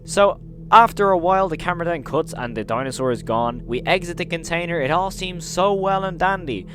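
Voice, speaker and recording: A man's voice: fairly high pitch, brisk, fairly bright tone, slight background noise. A man hosting a casual recap commentary, telling the story emphatically and leaning on intensifiers. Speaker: video host and narrator.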